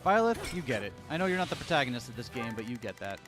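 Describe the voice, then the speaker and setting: A man's voice: medium pitch, very animated, very fast, over music. A man doing casual live gameplay commentary as a streamer, with joking, playful asides. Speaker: game streamer.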